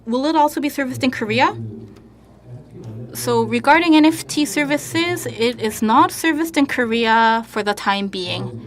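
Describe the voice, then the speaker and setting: A woman's voice: sits high, a bit bright. A woman interpreting live at a conference panel.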